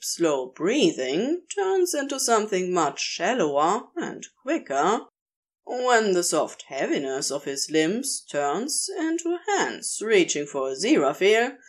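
A woman reading a story aloud as narrator, in an expressive scripted style. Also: medium pitch. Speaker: narrator, amateur audio fiction reader.

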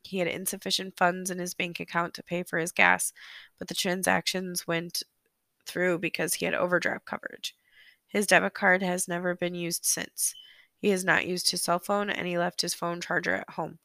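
A sick, hoarse woman hosting a solo true-crime podcast, chatty and apologetic, filling pauses with ums. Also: lowish voice, flat delivery, pushed and bright, no echo. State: sick, hoarse, losing her voice. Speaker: podcast host. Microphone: close podcast microphone.

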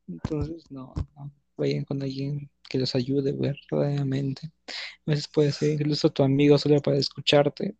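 Teasing guy with a gravelly voice. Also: a little high, little pitch movement, measured pace, darkish tone, highs cut off. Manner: teasing, laughs often. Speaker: podcast co-host. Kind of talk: casual chat.

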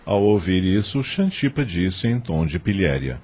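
A man's voice: low voice, big pitch swings, unhurried, a little mellow, husky. A man narrating a radio drama in a scripted, dramatic storytelling style.

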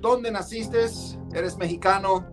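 Male host talking real slow, bit higher voice, soft music under it. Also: steady tone. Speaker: show host. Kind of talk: live show host talk.

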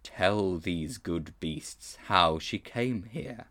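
A man voicing a deep, solemn lion. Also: animated, creaky, a bit bright, heavily acted. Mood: grave. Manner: slow, low and deep, with a hint of a growl. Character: vast solemn male lion, grave and commanding.

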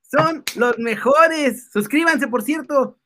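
Male stream co-host, Mexican accent. High-pitched, forceful delivery, playful and excitable, casual chat. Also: slow, a little hiss or hum. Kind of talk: casual live-stream banter.

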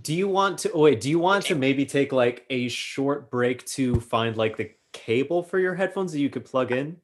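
Young man, podcast co-host, lively animated pitch, easygoing, practical. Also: slight background noise. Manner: easygoing, practical, quick laugh. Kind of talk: casual podcast chat.

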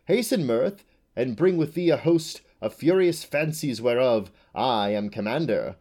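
A man's voice: lowish voice, dark tone. A man voicing a flighty, swaggering male butterfly who chants and sings jumbled scraps of songs and verse. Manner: sing-song, chanting, jumbled recitation. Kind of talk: audiobook character voice.

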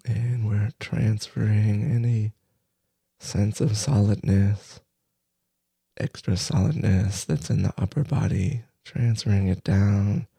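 Male meditation guide: dark, relaxed, breathy delivery in halting phrases, soft-spoken. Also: lowish voice, no room sound. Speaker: meditation teacher.

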